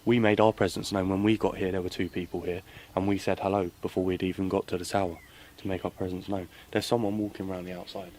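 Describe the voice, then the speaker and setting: A man's voice: deep voice, little pitch movement, fast talker, hardly any pauses, darkish tone. A man hosting a paranormal investigation vlog, talking casually as he explores. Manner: addresses viewers and calls out questions to spirits. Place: old stone tower and muddy surroundings. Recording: noisy handheld camera audio with rustling.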